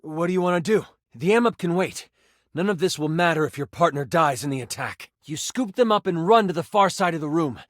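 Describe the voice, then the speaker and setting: A man's voice: fairly high pitch, expressive, brisk, no room sound, studio quality, lightly acted. A man playing a playful, dramatic game master who narrates a tabletop fantasy adventure in a video game. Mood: dramatic, engaged. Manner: theatrical second-person storytelling with casual asides.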